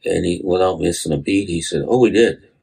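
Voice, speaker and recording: A man with a gravelly, husky voice recalling a conversation in an anecdotal way. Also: low-pitched, fairly quick, darkish tone, low-quality recording. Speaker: interviewee telling an anecdote. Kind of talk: conversational recollection.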